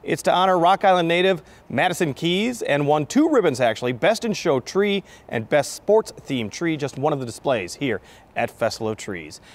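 Middle aged guy hosting local tv, slightly high voice, really varied pitch. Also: big pitch swings, moderate pace. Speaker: TV host. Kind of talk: presenting and interviewing on local TV. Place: large indoor hall decorated with Christmas trees. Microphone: lapel mic.

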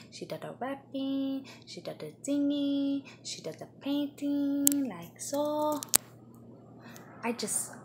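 A woman's voice: high voice, noisy background. A woman putting on a boastful, crude foreign entertainer persona with a put-on foreign accent, rambling and slipping into half-whispers in an improvised comedy monologue.

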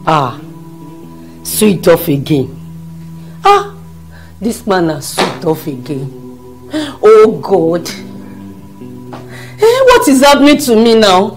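An actress playing a wife who prays to God in tears. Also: female voice, mid-range voice, very animated, slow, pauses often, soft background music. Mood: anguished. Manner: pleading, tearful prayer. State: crying. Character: desperate praying wife.

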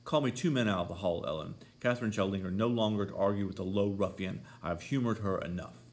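A man voicing a haughty, indignant gentleman master of the house in a story, stern and outraged. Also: sits low, quick pace.

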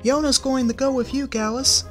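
A man voicing a shy, gentle female pegasus teacher in a fan story reading, soft and sweet. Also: extremely high pitch, steady tone, moderate pace, soft background music, pitched above the performer's own voice. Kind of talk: fanfic reading.

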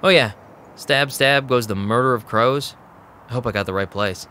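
A man's voice: mid-range voice, moderate pace, bright, forceful tone, a little hiss or hum, natural voice. A man acting in an audio drama as a wisecracking, streetwise vampire DJ who narrates with casual sarcasm. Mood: guarded, casual. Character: wisecracking young male vampire DJ, wry and streetwise. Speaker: narrator and lead character.

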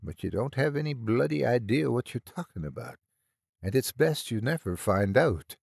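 A man's voice: medium pitch, expressive, moderate pace, vocal fry, darkish tone, breathy edge, dry recording, studio quality. A man voicing a fierce, motherly old Irish woman, a salty, scolding hospital nurse in an audio story. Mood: weary, softened.

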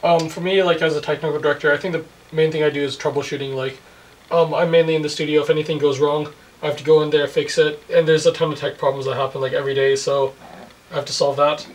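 A man's voice: on the high side, steady tone, quick pace. A man in his twenties explaining things casually as an interview guest. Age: 20s. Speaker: college radio technical director, guest. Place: furnished indoor music room studio. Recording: recorded at a distance from mic.

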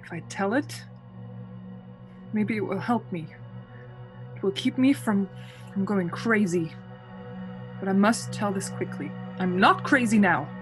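A woman's voice: medium pitch, unhurried, long pauses, over music, natural voice. An actress playing a frightened young traveler who insists she is sane, in a suspense radio play, hesitating and repeating words. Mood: anxious, urgent.

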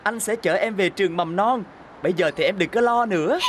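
A woman voicing a kind, eager, boyish little bus in a children's cartoon, speaking in an expressive, earnest way. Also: medium pitch, fairly quick, slight background noise, close to an everyday voice. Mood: reassuring, hurried. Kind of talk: children's cartoon dub acting. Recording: music behind the voice.

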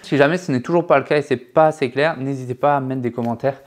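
Thirties male trainer, casual on-camera explainer, lapel mic, filler-heavy and chatty, mid-pitched. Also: fast talker. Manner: chatty, many fillers and restarts, lively hand gestures. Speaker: sales trainer, channel co-host. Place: living room set with sofa and shelves.

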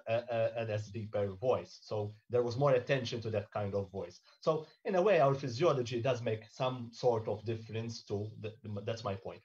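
A man in his thirties on a video call in a panel discussion, explaining in a measured way and often checking in with "okay?" and "right?". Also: mid-range voice, a little mellow, highs cut off. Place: home room indoors. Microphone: webcam on a video call.

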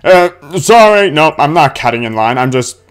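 A man's voice: medium pitch, brisk, clean tone, soft background music. A man giving casual, playful video game commentary as a let's play host, talking back to the game's characters.

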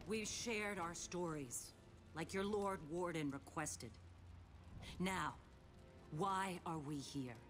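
Slow, stern samurai. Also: female voice, on the low side, soft background music. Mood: stern, measured. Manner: measured, serious, questioning. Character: stern, composed female samurai warrior. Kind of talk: dramatic video game cutscene acting.

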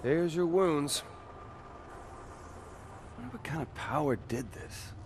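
A man's voice: on the high side, expressive, slow pace, a little hiss or hum. An actor voicing a cocky, sarcastic young American superpowered man in a video game. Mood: wisecracking. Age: young adult. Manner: sarcastic, flippant. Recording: music and street sounds behind.

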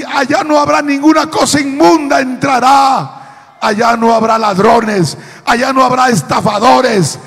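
A middle-aged man preaching an impassioned sermon as a pastor, declaiming emphatically with repeated phrases in a raspy voice. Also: very high voice, a bit bright. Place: church hall with congregation, large indoor room. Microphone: handheld microphone through PA. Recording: echoey hall PA with long reverb.

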